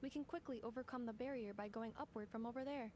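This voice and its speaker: A woman's voice: on the high side, fast talker, darkish tone, close to an everyday voice. A woman voicing a dutiful android helper in a video game, speaking in clipped, mechanical phrases. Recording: game audio with noise behind.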